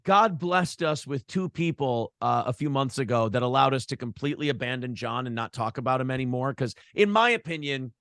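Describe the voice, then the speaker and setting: A man's voice: mid-range voice, a little fast, hardly any pauses, a bit bright, no room sound, clean studio sound. An American man chatting casually as an interview guest, telling rambling stories in a blunt, profane way. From Minnesota, United States. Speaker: podcast and morning show host, interview guest. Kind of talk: casual interview chat, storytelling.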